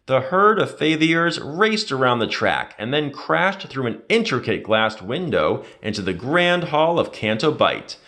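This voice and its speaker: A man narrates a children's storybook with a very lively, swooping intonation. His voice sits at a medium pitch. He leans into the drama with emphatic delivery, as in an expressive read-aloud. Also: measured pace, few pauses.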